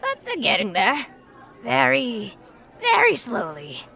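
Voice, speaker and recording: An actress playing a grumpy, sardonic, centuries-old irradiated ghoul woman in an audio drama, gravelly and blunt. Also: a little high, wildly swooping pitch, takes it slowly, bright, forceful tone, a faint crowd, exaggerated voice. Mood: grim, matter-of-fact.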